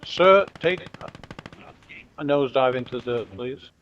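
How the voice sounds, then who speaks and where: Gameplay host, middle-aged guy with a muddled British-American accent, dry and joking, mid-pitched, dull lo-fi sound. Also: unhurried, a little hiss or hum. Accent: mixed British and American. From Britain. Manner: relaxed, wry, talks to his troops jokingly. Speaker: gameplay commentator. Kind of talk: casual strategy game commentary. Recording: dull lo-fi audio.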